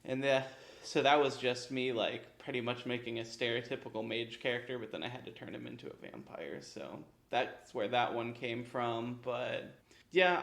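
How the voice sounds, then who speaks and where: Man, mid-range pitch, podcast co-host, casual gaming chat, meandering, hesitant, informal. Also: creaky, fairly bright tone. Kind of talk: casual chat about tabletop role-playing.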